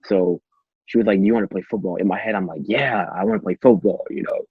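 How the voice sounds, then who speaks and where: Husky low-voiced teenage guy from Cameroon. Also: no echo, narrow, tinny audio. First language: French. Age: teens. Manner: halting, repeats himself. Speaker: podcast guest. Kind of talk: casual chat, anecdotes.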